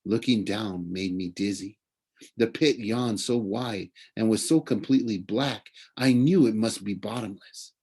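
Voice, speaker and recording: A man narrating a children's novel aloud like a teacher reading to students, in an expressive storytelling way. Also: fairly low pitch, a little slow, slightly rough.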